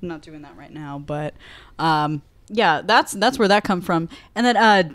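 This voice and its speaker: A woman's voice: fairly low pitch, animated, moderate pace, fairly bright tone, no room sound, studio quality. A woman in her twenties from the United States, a podcast guest chatting in a playful, giggly, self-mocking way. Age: 20s. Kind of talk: casual chat.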